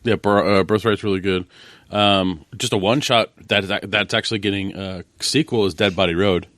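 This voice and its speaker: A man's voice: on the low side, steady tone, fairly quick, a bit bright, no room sound, clean studio sound. A man talking casually as a co-host on a podcast. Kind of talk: casual panel chat about comic books.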